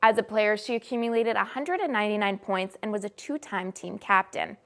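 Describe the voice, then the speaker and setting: A young adult woman working as a student sports anchor, reading scripted sports news in a measured, presentational newsreader delivery. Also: mid-range voice, vocal fry, a bit bright.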